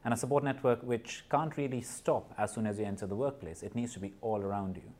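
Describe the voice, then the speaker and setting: A man's voice: mid-range voice, steady tone, fast talker, darkish tone. A man from Nepal and India, a guest in a podcast interview, speaking earnestly and often restarting and repeating words. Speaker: guest, corporate partner and mental health advocate.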